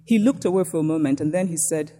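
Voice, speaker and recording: A woman from Nigeria with a Nigerian accent, reading a prepared lecture in a measured, reflective way. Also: fairly low pitch, dark tone, big-room sound, slight background noise.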